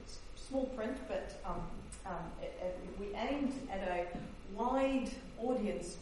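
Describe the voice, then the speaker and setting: A woman's voice: mid-range voice, slow, a little mellow. Hesitant woman historian in echoey hall. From Netherlands, raised in New Zealand. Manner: hesitant, many fillers and restarts. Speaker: historian presenting her book. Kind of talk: academic book talk. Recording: echoey hall, distant mic, faint hiss.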